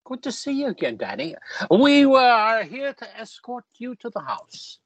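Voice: mid-range voice, sing-song, a little slow, dry recording. An actor plays a wisecracking young man teasing his new sister. His voice swings up and down with jokey energy. The sound is dry and a little muffled. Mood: joking. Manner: joking, wisecracking. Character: joking big brother, young man.

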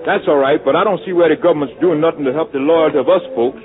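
A man's voice: fairly high pitch, quick pace, lightly acted. An actor playing a bitter, skeptical man arguing in colloquial, sardonic speech in a 1940s radio drama. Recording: tinny old radio with music behind.

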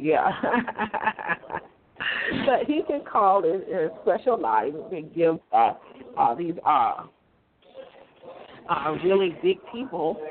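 A hoarse speaker with a cold, hosting an online radio show in a rambling monologue that repeats phrases and breaks into laughs. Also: medium pitch. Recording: phone-like line with loud crowd noise.

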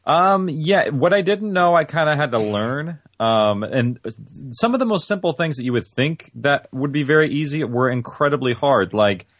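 A man's voice: a little high, lively intonation, moderate pace, hardly any pauses, dry recording, studio quality. A man speaking as an interview guest, explaining things casually and conversationally, often restarting his phrases. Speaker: interview guest, audio-drama writer and producer.

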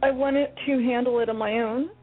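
A high, husky teenage schoolgirl who sounds guarded and defensive, played by a woman in a radio play. She comes through a thin, phone-like line with faint music underneath. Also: steady tone, fairly quick. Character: anxious teenage girl student.

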